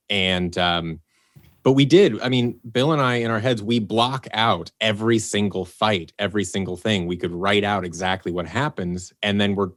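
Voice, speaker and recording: A man's voice: fairly low pitch, dry recording, clean studio sound. A man talking casually in an interview as an audio drama director, explaining with acted-out examples.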